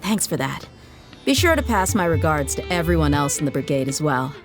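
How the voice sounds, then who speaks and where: A woman's voice: sits low, very animated, fairly quick, over music. A woman playing a tough, confident female mercenary who talks bluntly and teases with cool threats. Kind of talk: game voice acting.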